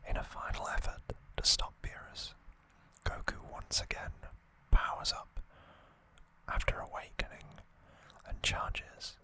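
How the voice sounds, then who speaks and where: An ASMR host whispering a recap of trivia in a breathy, unvoiced voice, with halting, informal asides. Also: bright, forceful tone, rough recording.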